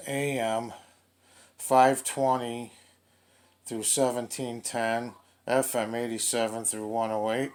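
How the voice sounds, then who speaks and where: A man giving casual, unscripted unboxing commentary as a gadget reviewer, halting and thinking aloud as he goes. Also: mid-range voice.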